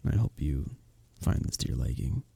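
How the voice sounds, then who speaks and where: Man acting a husky, lively medieval innkeeper. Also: very deep voice, normal speed, dry recording, in a whisper, exaggerated voice. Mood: reassuring, warm. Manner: old-fashioned formal wording, teasing storyteller. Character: flirty, good-humoured male innkeeper of a medieval fantasy village. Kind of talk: scripted roleplay audio acting, intimate ASMR.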